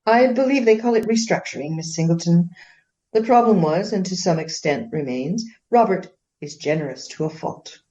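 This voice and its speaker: Actress as proud Regency wife, haughty, formal, lowish pitch, very animated delivery, radio play, slightly dull sound. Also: female voice, normal speed, lightly acted. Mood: guarded, formal. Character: proud, indignant Regency gentlewoman defending her husband.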